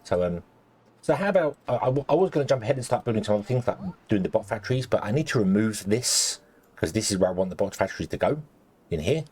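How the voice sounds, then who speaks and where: A man commentating his gameplay casually, rambling with lots of ums and restarts, and a little croaky as he loses his voice. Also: on the low side, big pitch swings, quick pace, darkish tone. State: slightly croaky, losing his voice. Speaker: let's play host.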